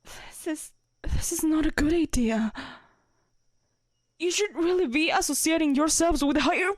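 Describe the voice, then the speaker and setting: A woman's voice: high voice, unhurried, dry recording, studio quality. A woman playing a devoted, lovestruck knight, shy and conflicted, in an intimate romantic audio roleplay, speaking hesitantly and tenderly. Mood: flustered, hesitant. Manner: hesitant, tender, breathy whispers at times.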